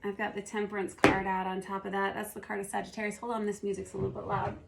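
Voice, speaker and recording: A woman with a hoarse, gravelly voice talks through a tarot reading at an unhurried pace, thinking aloud as she goes. Her pitch stays level and flat, with little rise or fall. She sounds like she is losing her voice. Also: heavy background noise. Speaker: tarot reader. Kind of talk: casual spoken tarot reading.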